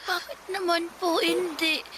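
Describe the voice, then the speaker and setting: A woman playing a sweet, frail sick little boy in a radio drama, with a childlike, gentle way of talking. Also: very high voice, level tone, measured pace, a little hiss or hum. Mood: sweet, weak. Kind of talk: Filipino radio drama acting.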